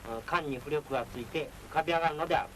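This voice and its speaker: A man with a moderately high voice gives a technical military lecture. He speaks as a naval instructor, measured and formal, with authority. The soundtrack is 1940s film with slight noise. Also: fairly bright tone, poor audio.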